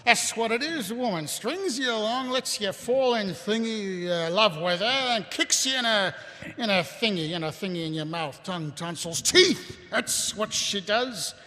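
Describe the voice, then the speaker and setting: An actor playing a maudlin, drunken city watch captain in live radio theatre, rambling and groping for words. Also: male voice, high voice, animated, measured pace, bright, forceful tone, exaggerated voice. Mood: maudlin, bitter. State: acted drunkenness. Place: live convention stage. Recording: reverberant hall stage.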